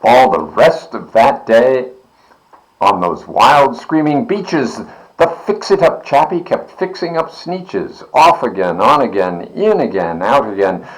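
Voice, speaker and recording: Male storybook reader, medium pitch with wide swings, dramatic rhythmic verse, takes his time. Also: expressive, slow, rough recording. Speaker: library board member reading aloud. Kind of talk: expressive children's storybook reading.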